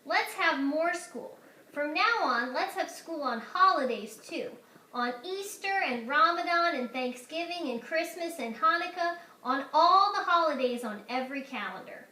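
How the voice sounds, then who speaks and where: A woman's voice: sits high, lively intonation, bright, forceful tone, reverberant, pitched above the performer's own voice, exaggerated voice, rough recording. Woman playing a grandly enthusiastic, emphatic male school principal. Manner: emphatic, drawn-out repeated pronouncements.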